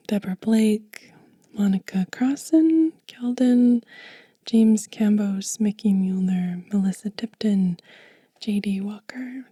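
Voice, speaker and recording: A woman whispers in a very dark, mellow, breathy voice. She takes her time, gently presenting things in an ASMR show-and-tell. The sound is close and dry. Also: mid-range voice, no echo. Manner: gentle, unhurried. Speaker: ASMR channel host.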